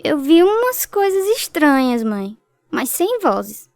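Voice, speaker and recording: A woman's voice: high-pitched, sing-song, slow, a little mellow, no echo, studio quality, exaggerated voice. An actress playing an anxious, tender young girl in a Brazilian radio drama, speaking hesitantly and fearfully. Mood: uneasy, hesitant.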